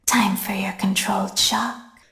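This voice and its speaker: A woman voicing a sinister animatronic from a video game, softly threatening in a whispery, raspy voice. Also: mid-range voice, fairly even intonation, a little slow. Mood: menacing. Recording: echoey game clip with long reverb.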